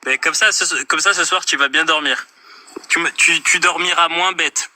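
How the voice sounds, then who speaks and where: A man's voice: fairly high pitch, steady tone, moderate pace, noisy background. A man hosting a heated live-stream debate from his phone. He talks over the others and repeats himself insistently.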